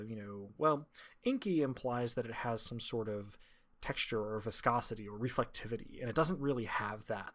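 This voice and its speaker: A man's voice: medium pitch, a bit bright. A man improvising narration as game master of a tabletop roleplaying game, with descriptive, wordy, literate phrasing.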